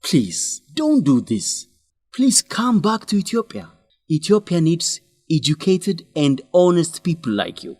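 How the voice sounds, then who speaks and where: An actor playing an earnest, principled young man who urges his friend in a radio drama, with an animated, scolding manner. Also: fairly high pitch, a little slow, no room sound. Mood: pleading, scolding. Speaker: friend and fellow researcher.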